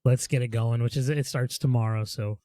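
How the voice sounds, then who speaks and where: Animated young male podcast co-host. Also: mid-range voice, fairly quick, no echo, studio quality. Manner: rambling and animated, with upbeat storytelling. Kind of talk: casual chat.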